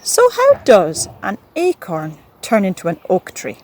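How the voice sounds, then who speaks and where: A woman presenting and reading poetry for children in an expressive, deliberate, encouraging way. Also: mid-range voice. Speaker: presenter and poem reader.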